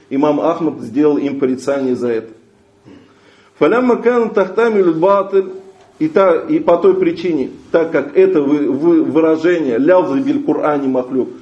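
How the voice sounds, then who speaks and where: Male religious teacher, reads a text and explains it line by line, mellow voice, slightly dull lo-fi sound. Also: on the high side, reverberant. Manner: reads a passage aloud, then translates phrase by phrase. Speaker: Islamic teacher.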